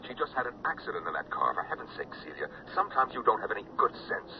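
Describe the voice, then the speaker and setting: Pushy worried doctor, super low gravelly voice, lively delivery. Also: male voice, racing. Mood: urgent. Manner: stern, insistent. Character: worried family doctor, middle-aged, firm. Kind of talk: 1970s radio drama acting. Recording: dull lo-fi old radio broadcast, noisy.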